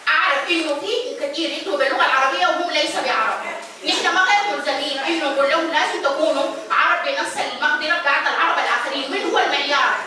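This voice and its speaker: A woman's voice: high voice, pushed and bright, a little hiss or hum. A woman with an Egyptian Arabic accent moderates a panel. She lays out her view at length and keeps pressing her point. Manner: quick, insistent, explains her view at length. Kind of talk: panel discussion. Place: art gallery event room, seated at a table. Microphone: handheld mic, heard from a distant camera. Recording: echoey gallery from far off.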